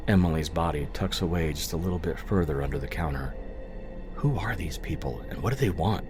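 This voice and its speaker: A man narrating scripted audio fiction in a dramatic storytelling style. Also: low voice. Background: music.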